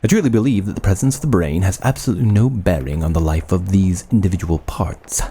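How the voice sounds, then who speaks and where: An actor playing a cold, obsessive surgeon and mad scientist in a horror audio drama, speaking in a clinical, measured, formal way. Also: male voice, low-pitched, mellow, natural voice. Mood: coolly absorbed. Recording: faint background ambience noise.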